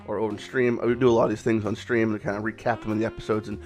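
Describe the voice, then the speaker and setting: A man with a raspy voice, hoarse from a cold, hosting a casual game commentary vlog in a rambling, off-the-cuff way with some swearing. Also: on the low side, level tone, very fast, darkish tone. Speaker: gaming channel host.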